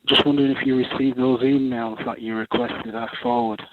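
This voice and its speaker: A man from England with a Manchester accent, calling in to a radio show in a measured, earnest way. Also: medium pitch, level tone, heavy background noise. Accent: English, Manchester. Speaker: caller. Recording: tinny phone line.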